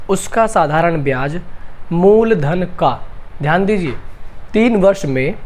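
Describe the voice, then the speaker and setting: A male math teacher with a sore throat, giving an exam-prep lesson. He repeats each step and asks and answers his own questions. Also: high voice. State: sore throat, clears throat and coughs.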